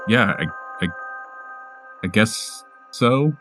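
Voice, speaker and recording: A man playing a flustered, bumbling male swordsman in a fantasy tabletop game, stammering and halting. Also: lowish voice, lively intonation, music in the background, voice pushed up. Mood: sheepish, stunned.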